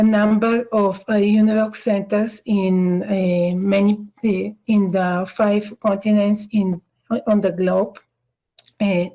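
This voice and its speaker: A woman's voice: mid-range voice, warm tone. A woman with a Bulgarian accent, her first language, giving a hesitant conference presentation in English with many fillers and restarts. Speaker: invited speaker.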